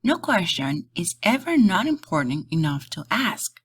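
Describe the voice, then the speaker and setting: A woman's voice: mid-range voice, sing-song, a little slow, slightly rough, no echo, studio quality. A woman reading a storybook as an encouraging, clever kindergarten teacher with an instructive manner.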